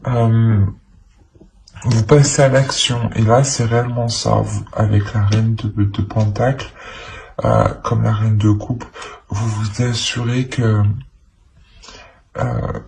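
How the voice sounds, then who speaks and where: Guy doing a tarot reading, really flat and slow, stop-start and repeating himself. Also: fairly low pitch, gravelly, a little hiss or hum. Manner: hesitant, halting, repeats phrases. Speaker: tarot reader. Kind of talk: improvised tarot reading addressed to the viewer.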